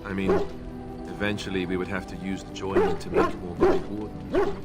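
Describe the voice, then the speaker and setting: A young ex-templar warrior in a fantasy role-playing game, with a fairly low voice and a dry, self-deprecating wit. Game music plays clearly behind his lines. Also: wildly swooping pitch, normal speed. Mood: earnest. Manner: wry, self-deprecating humor. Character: young male warrior, former templar trainee, wry and self-mocking. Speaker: companion character in a video game. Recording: game audio over background music. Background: game soundtrack music.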